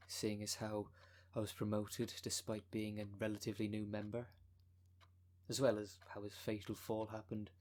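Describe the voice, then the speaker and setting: Guy voicing a smooth con woman cult leader, lowish voice, polished salesy pitch, asmr roleplay. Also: darkish tone, close to an everyday voice. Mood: self-satisfied. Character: smooth-talking con-artist female minister of demon cult. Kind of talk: ASMR roleplay audio drama.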